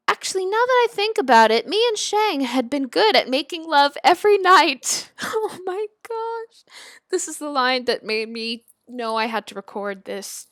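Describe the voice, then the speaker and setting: Young woman, high-pitched, casual amateur reader, informal giggly manner, dry close sound. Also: fairly bright tone, no room sound. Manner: giggly, informal, stumbles over the text and rereads. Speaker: video host. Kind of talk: casual reaction commentary and amateur read-aloud of fan fiction.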